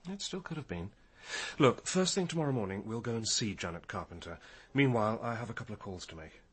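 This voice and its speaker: Low, husky police detective. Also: male voice, lively intonation. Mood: intent, explanatory. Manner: measured, deliberate. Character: methodical, thoughtful senior police detective, male, quietly probing. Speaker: actor in a radio drama. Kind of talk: radio crime drama acting.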